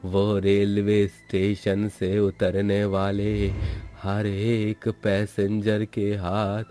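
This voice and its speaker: A man's voice: on the low side, completely flat delivery, drawn-out words, mellow, faint music behind. A man narrating a scripted horror story.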